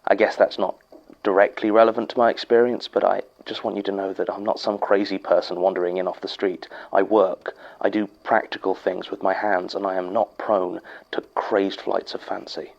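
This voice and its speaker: An actor with a British accent playing a sober archivist who reads out an eerie testimony in a measured, formal way for a horror audio drama. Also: male voice, deep voice, level tone, gravelly, a little mellow, lo-fi sound. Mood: measured, matter-of-fact. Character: sober head archivist reading a theatre technician's eerie testimony. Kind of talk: horror audio drama, reading a recorded statement.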